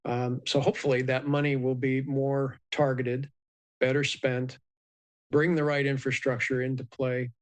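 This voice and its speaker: Man speaking in a flat, measured way. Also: mid-range voice. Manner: measured, explanatory, frequent ums and uhs. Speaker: aviation expert guest. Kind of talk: live news interview.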